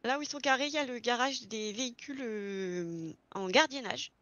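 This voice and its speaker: A woman's voice: on the high side, normal speed, fairly bright tone, no room sound, dull audio. A woman explaining things step by step in an informal, casual roleplay chat. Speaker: experienced garage employee training a new recruit in online roleplay.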